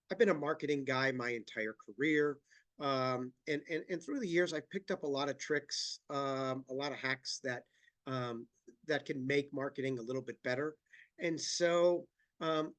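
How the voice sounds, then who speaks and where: A man's voice: on the high side, fairly bright tone. Nasal man presenting a webinar with a cold. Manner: halting, many fillers and restarts. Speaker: company president giving a presentation.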